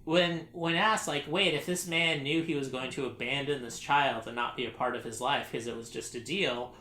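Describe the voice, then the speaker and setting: A man's voice: mid-range voice, steady tone, normal speed, pushed and bright. A man hosting a casual group podcast, rambling and sarcastic, laughing often as he talks. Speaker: podcast host. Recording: echoey room, faint noise.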